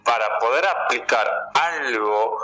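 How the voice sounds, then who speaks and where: A man's voice: mid-range voice, takes it slowly, bright, forceful tone, rough recording. A man with a Buenos Aires Argentine accent, talking casually as a card-reader guest in a radio interview.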